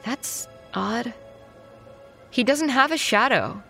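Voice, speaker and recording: Bright, high-pitched curious little girl. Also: expressive, slow, soft background music, exaggerated voice. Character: curious, observant young schoolgirl. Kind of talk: horror audio drama acting.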